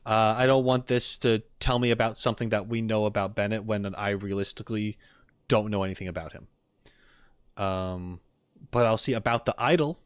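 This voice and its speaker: A man's voice: on the low side, fairly even intonation, normal speed, smooth, dry recording. Dry-sounding man, rambling streamer chat. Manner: rambling, emphatic quoting of others. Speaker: streamer reacting to game videos. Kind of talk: casual chat with viewers, theorizing.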